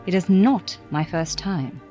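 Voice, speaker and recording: An actress playing a poised, enigmatic highborn amateur scientist, formal and courteous, in an audio drama. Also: female voice, lively intonation, a little slow, music in the background. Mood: uneasy.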